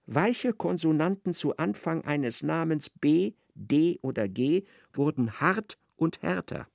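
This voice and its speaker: An observant literary narrator in a radio play. His mid-pitched voice has a creaky grain and moves expressively up and down. Every phrase is articulate and measured, recorded very dry. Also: expressive, dry recording, heavily acted. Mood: precise, wry. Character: precise, observant third-person male narrator of a novel.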